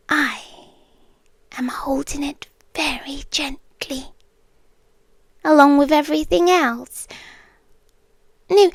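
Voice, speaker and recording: Woman acting a hushed, high-voiced possessive British monster queen. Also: no echo. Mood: coaxing, sly. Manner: hushed, slow, deliberate, often slipping into whispers. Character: possessive monster queen, soft-spoken, menacing yandere lover. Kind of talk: ASMR roleplay monologue. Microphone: close, dry microphone.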